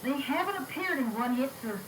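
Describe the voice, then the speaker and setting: A confident young animator played by a woman pleads earnestly in a high voice during an audio story. The recording is echoey, with clear tape noise. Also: animated, fairly quick, far from the mic. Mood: eager. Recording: echoey, noisy reel-to-reel tape.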